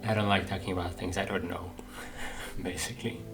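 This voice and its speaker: A man's voice: low voice, steady tone, normal speed. A man giving casual playthrough commentary, thinking aloud in a hesitant, musing way. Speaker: gaming video host. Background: game music.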